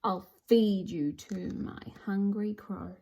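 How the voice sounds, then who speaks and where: Woman putting on a slow, menacing lizard wizard. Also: mid-range voice, fairly even intonation, dark tone, highs cut off, pitched below the performer's own voice. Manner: slow, commanding, threatening. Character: menacing lizard wizard, bossy and bad-tempered male villain. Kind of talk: storybook read-aloud.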